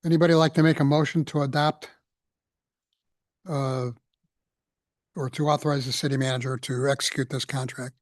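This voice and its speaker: A man's voice: mid-range voice, flat delivery, no room sound, studio quality. Man chairing a council meeting. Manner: brisk, procedural. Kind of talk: formal meeting procedure. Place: city council chamber.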